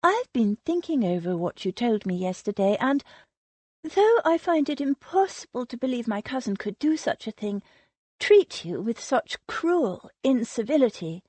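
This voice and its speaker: Breathless, high-pitched young society lady, all effusive pleas and sudden gushes, her voice leaping about as she apologises, put on by a woman reading a novel aloud. The recording is close and dry. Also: very animated, normal speed, a little mellow, husky, dry recording. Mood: apologetic. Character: gushing, anxious young society wife.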